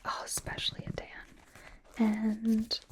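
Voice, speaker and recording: A woman's voice: on the high side, completely flat delivery, slow. A woman playing a bubbly, devoted young K-pop idol and stylist in an ASMR roleplay, whispering in a gushing, rambling, playful way. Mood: chatty, admiring. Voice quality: breathy whisper.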